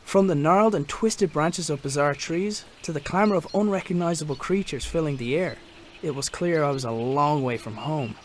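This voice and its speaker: A man voicing a father in a video game, narrating his journey in a reflective, measured way. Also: on the high side, slight background noise, lightly acted. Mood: uneasy. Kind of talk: scripted narration.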